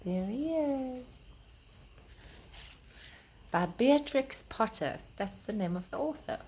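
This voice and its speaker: A woman's voice: on the high side, lively intonation, takes it slowly, a little mellow, a little hiss or hum. A woman hosting a children's storytime, reading aloud and talking directly to young listeners in simple, explanatory language. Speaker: children's storytime host and reader.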